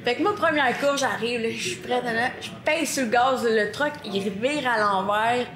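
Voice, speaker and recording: A woman in her thirties with a Québécois French accent, telling her story as a podcast guest, lively and quick to laugh. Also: a little high, roomy sound, a crowd in the background. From Quebec, Canada. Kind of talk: casual chat.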